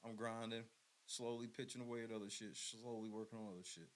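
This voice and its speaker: A man hosting his own show, talking bluntly and profanely in a casual, swaggering way with loud outbursts. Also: lowish voice, little pitch movement, fast talker, a little hiss or hum. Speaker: podcast host.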